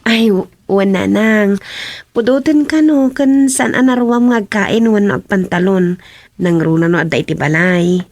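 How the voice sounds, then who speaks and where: An actress playing a young wife and daughter with a Filipino Ilocano accent, coaxing and teasing in a radio comedy drama. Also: female voice, mid-range voice, moderate pace, darkish tone, dry recording, natural voice.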